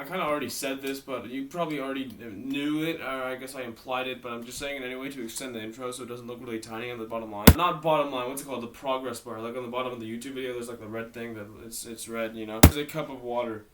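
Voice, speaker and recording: Hoarse rambling teen guy, off mic. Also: medium pitch, fairly bright tone, far from the mic. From Maryland. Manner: rambling, self-interrupting, self-mocking asides. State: voice worn out and tired. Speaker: YouTuber. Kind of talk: casual storytime vlog.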